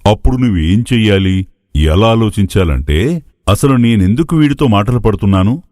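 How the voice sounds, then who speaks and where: A man's voice: on the low side, expressive, moderate pace, a little mellow, dry recording, clean studio sound, lightly acted. A man playing a wise, patient elderly adviser in a moral story, speaking in a didactic, reassuring way. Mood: patient advising.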